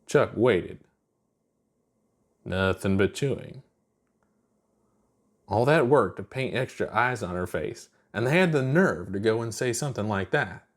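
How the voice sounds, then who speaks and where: Guy reading a story, takes his time, really lively up and down delivery. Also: on the low side, a little slow, pauses often. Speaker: narrator. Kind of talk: expressive scripted story narration.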